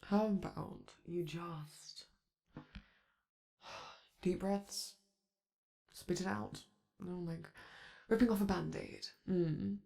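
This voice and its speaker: A man's voice: high voice, slow pace, vocal fry, long pauses, mellow, full character voice. A man voicing a flamboyant, doting male robot star in a romantic ASMR roleplay, theatrical and coaxing, calling the listener darling. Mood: coaxing, flirty.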